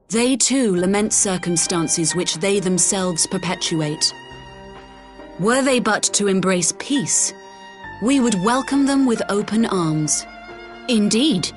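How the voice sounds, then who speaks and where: An actress playing a calm, dignified leader of a forest nation in a fantasy video game, with measured, solemn, archaic speech. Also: female voice, mid-range voice.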